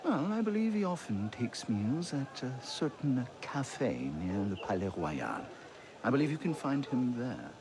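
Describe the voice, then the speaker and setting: A man's voice: medium pitch, big pitch swings, unhurried, noisy background. A man playing a sly libertine aristocrat in a game cutscene, florid, urbane and sardonic. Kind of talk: video game cutscene acting.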